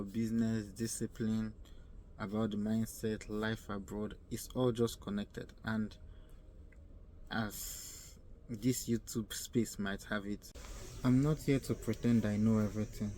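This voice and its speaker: A young man from Nigeria with a Nigerian accent giving earnest advice in a casual vlog, often repeating himself and pausing to search for words. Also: fairly low pitch, little pitch movement, mellow, poor audio. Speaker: vlogger. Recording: faint ambient noise.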